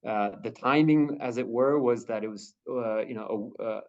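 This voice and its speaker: A man speaking as a humanitarian spokesperson on a video call, answering questions in a halting way, with many fillers and restarts. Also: mid-range voice, a little mellow, dull audio.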